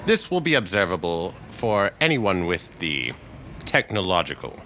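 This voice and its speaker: An actor playing a reserved, scholarly male astronomy professor in a radio drama, speaking in a halting, deliberate, formal way. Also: deep voice, big pitch swings, sharp tone, slight background noise, full character voice. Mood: measured, grave. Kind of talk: radio drama acting in 1938 broadcast style.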